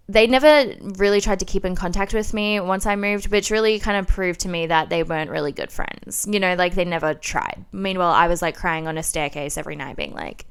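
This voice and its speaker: Clear-voiced young Australian woman, chatty. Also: medium pitch. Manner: chatty, informal, sweary, self-deprecating. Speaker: podcast host. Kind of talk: casual solo chat, personal storytelling.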